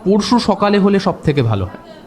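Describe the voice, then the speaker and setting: An actor playing a thoughtful, decisive private detective in an audio drama, speaking tersely and deliberately. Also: male voice, high-pitched, sing-song, darkish tone. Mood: thoughtful, probing. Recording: music under the voice.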